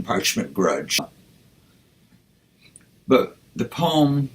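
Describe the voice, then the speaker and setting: A man, a poet, speaking and reciting with deliberate, measured phrasing. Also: fairly low pitch, fairly bright tone, low-quality recording. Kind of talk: spoken introduction and poetry recitation.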